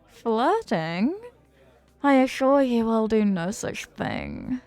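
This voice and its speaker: A woman's voice: on the high side, lively intonation, takes it slowly, a little mellow, no echo. Woman acting as a flirty party charmer, confident, coy, playful sweet-talk, intimate roleplay audio, dry close sound, light music. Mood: teasing, flirty. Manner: teasing, coy, sweet-talking.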